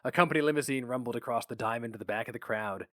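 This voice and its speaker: A man's voice: mid-range voice, racing, bright, forceful tone, no room sound, studio quality. A man giving a dramatic narrated reading of a short story in a scripted storytelling style. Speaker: story reader. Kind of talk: dramatic audiobook-style narration, acting out characters.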